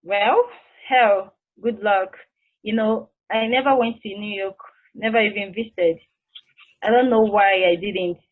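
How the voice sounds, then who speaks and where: Over tinny, phone-like audio comes a reflective, sympathetic woman , acted at a table read. She speaks conversationally at a middling pitch. Her thoughts trail off wistfully, as in an intimate stage play. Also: measured pace, natural voice.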